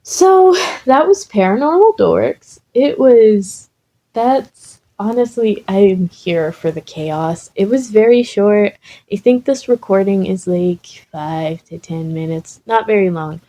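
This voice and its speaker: A woman's voice: mid-range voice, lively intonation, slow pace, mellow. A woman reading a comic story and chatting casually as the host. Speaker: fan fiction reader and host. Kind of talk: comic story narration, then casual chat.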